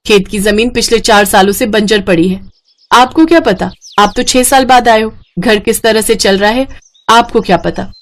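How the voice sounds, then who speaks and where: A woman voicing a resentful, sharp-tongued village daughter-in-law in a story, blunt and complaining. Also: a little high, moderate pace, lightly acted. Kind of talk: acted Hindi moral story dialogue.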